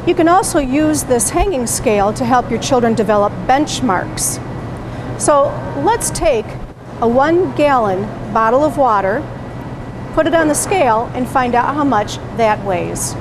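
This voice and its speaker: Middle-aged woman, high bright register, patient teacherly delivery; store location audio with noticeable background noise. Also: lively intonation, measured pace. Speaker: parent educator. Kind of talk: instructional presentation to camera. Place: grocery store produce section, indoors.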